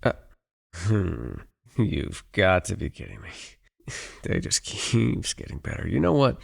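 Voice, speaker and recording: Casting: gruff wilderness guide for an ASMR roleplay, played by a man; very deep, husky, dry and teasing with the client. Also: wildly swooping pitch, a little slow, exaggerated voice. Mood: incredulous, exasperated. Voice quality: low, gravelly, husky. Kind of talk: ASMR audio roleplay, one-sided dialogue addressed to the listener.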